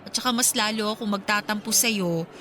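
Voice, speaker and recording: An actress plays a candid, caring young wife and best friend in a Filipino radio drama. Her voice is bright and forceful at a middle pitch, with expressive delivery. Noisy ambience sits behind her. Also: brisk, close to an everyday voice. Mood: sincere, bittersweet. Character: supportive, candid young wife longing for a child.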